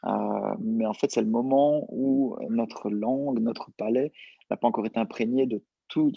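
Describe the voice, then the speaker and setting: A young man answering interview questions casually, relaxed and hesitant with many fillers. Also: medium pitch, measured pace, vocal fry, breathy edge, dry recording. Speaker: entrepreneur guest. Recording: dull lo-fi sound.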